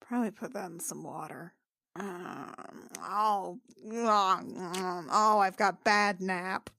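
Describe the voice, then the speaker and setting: A woman's voice: medium pitch, slow, creaky, pushed and bright, a little hiss or hum. A woman chatting and improvising as a player in a tabletop game. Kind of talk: casual chat and improvised role-play.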